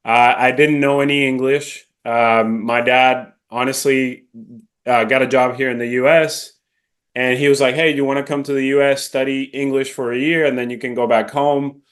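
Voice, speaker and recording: A man's voice: mid-range voice, flat delivery, normal speed. A man in his thirties from Venezuela with a faint Venezuelan Spanish accent, a podcast guest talking casually and correcting himself as he goes. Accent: slight Venezuelan Spanish. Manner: rambling, self-correcting, many fillers. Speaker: podcast guest, real estate agent. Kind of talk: casual interview conversation.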